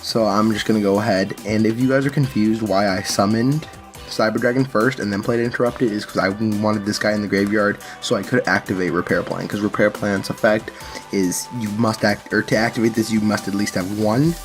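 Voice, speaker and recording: A man's voice: on the low side, steady tone, brisk, faint music behind. A man giving casual, unscripted commentary on card game play and decks, explaining things and correcting himself as he goes. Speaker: card game channel host.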